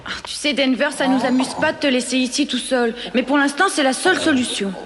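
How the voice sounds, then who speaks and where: A woman's voice: sits high, brisk, music in the background, natural voice. A woman voicing an earnest, friendly young boy in a French cartoon dub, with a practical manner. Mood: gentle regret.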